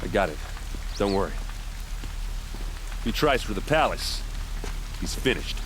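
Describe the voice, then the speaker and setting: A man's voice: on the low side, sing-song, a little slow, background noise. A man playing a brash, hot-headed warrior captain in a video game cutscene. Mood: confident.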